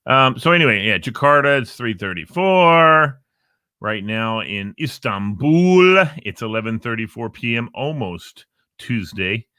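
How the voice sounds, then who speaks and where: A man's voice: medium pitch, sing-song, measured pace, bright, forceful tone, no room sound, clean studio sound. A man hosting a casual show, rambling playfully with jokey asides about times and weather. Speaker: show host. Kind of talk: casual live show chat. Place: Northern Virginia.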